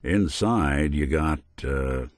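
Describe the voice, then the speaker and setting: An actor playing a world-weary, loyal robot detective in a video game, with wry, folksy 1940s private-eye slang. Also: male voice, rumbling low, takes it slowly, no echo, clean studio sound. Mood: casual, alert. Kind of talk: video game companion voice lines.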